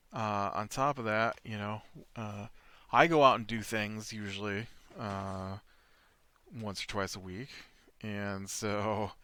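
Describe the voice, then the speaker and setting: A man's voice: lowish voice, bright, forceful tone. A middle-aged man hosting a game playthrough from home, telling a meandering story full of fillers and restarts. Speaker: let's play host. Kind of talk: rambling casual gameplay chat. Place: home room.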